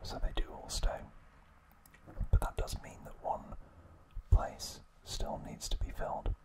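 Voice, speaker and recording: A man whispering an ASMR trivia recap in a breathy, unvoiced, hushed voice with gentle, halting pauses. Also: poor audio. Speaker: ASMR host.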